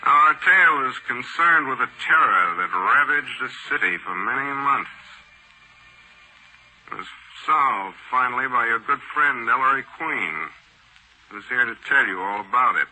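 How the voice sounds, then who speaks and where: Male 1940s mystery show announcer, theatrical, mid pitch, forceful bright tone, old radio recording. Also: animated, a little slow, low-quality recording. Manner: theatrical, playfully menacing.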